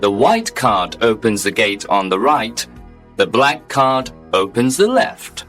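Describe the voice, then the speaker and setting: A polite, unflappable clerk at heaven's desk, read by a man. He speaks slowly and formally, his pitch rising and falling with quiet authority, over soft background music. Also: very animated, heavily acted. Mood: calm formal. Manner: slow, measured, formal and unbothered. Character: calm polite male heavenly receptionist clerk. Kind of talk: audiobook dialogue reading.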